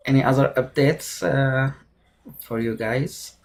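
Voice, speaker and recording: A man with a gravelly, creaky voice hosts an online meeting. He talks casually and hesitantly, leaning on fillers. His voice comes through a video call. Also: mid-range voice, slow pace, a little hiss or hum. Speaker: meeting host.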